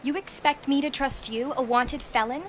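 An actress playing a young police officer in a video game, earnest, defiant and emphatic. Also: female voice, steady tone, moderate pace, natural voice. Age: young adult. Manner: firm, indignant, emphatic. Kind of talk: video game cutscene acting. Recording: loud train rumble and ambience behind.

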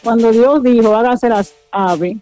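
A woman talks on the phone in a high, soft-toned, mellow voice. She sounds nervous and halting, often repeating her words, with faint music behind her. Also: poor audio. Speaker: prank call victim. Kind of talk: phone conversation. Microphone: telephone call.

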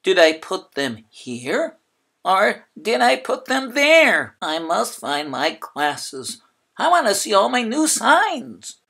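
Man doing an animated, fretful little sign painter. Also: high-pitched, slow, creaky, husky, voice pushed up, exaggerated voice. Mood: puzzled, fretful. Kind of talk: children's storybook character voice.

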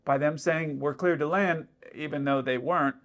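Pilot in his thirties, fairly high voice, casual explainer to camera, indoor room. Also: fairly even intonation, normal speed, a bit bright. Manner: explanatory, with frequent "let's say" asides. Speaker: airline pilot commenting on radio audio.

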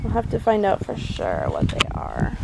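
A woman's voice: medium pitch, wildly swooping pitch, normal speed, vocal fry. A woman chatting casually as she walks a garden with her camera, hedging on the names of the plants. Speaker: guide filming a garden tour. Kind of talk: casual walking garden tour. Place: outdoors in a library garden. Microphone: handheld camera mic. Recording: loud outdoor noise on a camera mic.

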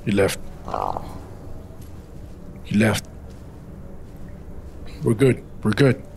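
A man's voice: fairly low pitch, very slow, a little mellow, a little hiss or hum. A man giving casual, slangy gameplay commentary, blunt and often repeating short phrases. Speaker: video game streamer.

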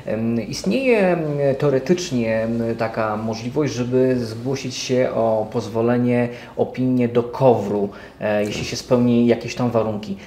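A middle-aged man asking interview questions quickly, with filler hums, in an office. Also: mid-range voice, dark tone. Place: office room with banner backdrop. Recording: roomy echo, faint noise.

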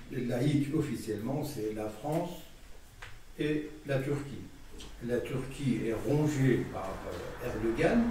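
Guy from iran talking slow in a meeting, lots of uh, far off roomy mic. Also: medium pitch, background noise. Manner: hesitant, measured, many fillers. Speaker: participant in a public discussion. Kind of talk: debate contribution. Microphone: far camera. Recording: echoey room from far off.

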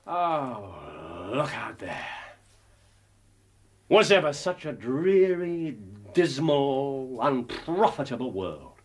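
Radio drama lead: brilliant, jaded English sleuth, medium-pitched, very animated and incisive. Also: male voice, a little hiss or hum. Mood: bored, bitter. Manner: theatrical, incisive, disdainful. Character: brilliant, restless, world-weary male consulting detective. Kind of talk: BBC radio drama acting.

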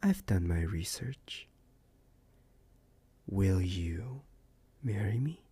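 Man acting as a slow, low-voiced possessive werewolf boyfriend. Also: expressive, dark tone, slightly rough, full character voice. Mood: tender, pleased. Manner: slow, intimate, partly whispered, suave and condescending. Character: possessive, doting alpha male werewolf boyfriend, smug and devoted. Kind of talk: ASMR romance roleplay acting.

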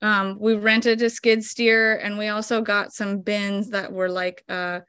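A woman's voice: mid-range voice, little pitch movement, measured pace, fairly bright tone, clear, resonant tone, no echo, clean studio sound. Chatty woman on a video call. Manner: chatty, explains step by step with many fillers. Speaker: flower farmer guest. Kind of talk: casual interview over video call. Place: home room indoors. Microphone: video call webcam.

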